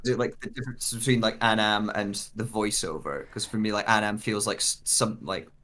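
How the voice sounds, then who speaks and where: A man's voice: lowish voice, fairly quick, fairly bright tone. A man speaking casually as a guest actor discussing a role, rambling and often restarting his sentences. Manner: rambling, restarts sentences, frequent "like" and "you know".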